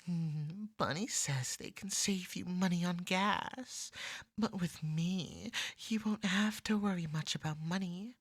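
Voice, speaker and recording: A woman playing a clingy, jealous cat-person yandere in a scripted ASMR roleplay, husky, wry and wheedling. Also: fairly low pitch, slow pace, dry recording, heavily acted. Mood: devoted, wheedling.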